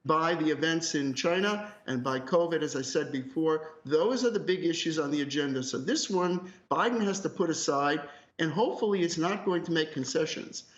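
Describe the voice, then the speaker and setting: A man's voice: on the high side, normal speed, few pauses. A man speaking as an academic guest analyst on live news, conversational but emphatic, opinionated and direct. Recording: roomy echo, slightly muffled call audio.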